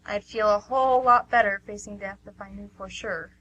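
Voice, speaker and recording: A woman's voice: mid-range voice, fairly even intonation, moderate pace, fairly bright tone, dull audio, slight background noise, close to an everyday voice. A woman playing a dry, teasing, pragmatic friend in an amateur radio drama. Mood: dry, questioning.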